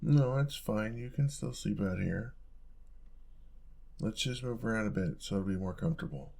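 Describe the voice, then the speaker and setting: A man acts out a young male roommate in a cozy boyfriend-style audio roleplay. His voice sits fairly low with a strong crackle of fry. He speaks softly and casually, affectionate and playfully teasing as he coaxes the listener. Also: moderate pace. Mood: gentle, caring. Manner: soft-spoken, teasing, casual, coaxing.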